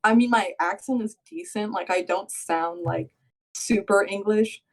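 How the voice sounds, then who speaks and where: A young woman co-hosts a casual podcast. She wanders through her thoughts and fills them with "like," in a voice of average pitch. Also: measured pace. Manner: rambling, heavy use of "like". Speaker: podcast co-host. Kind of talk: casual chat.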